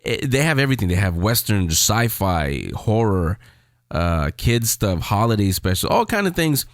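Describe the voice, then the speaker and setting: A man's voice: lowish voice, dry recording, studio quality. A man hosting a show, talking casually and off the cuff. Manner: informal, off-the-cuff. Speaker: podcast host.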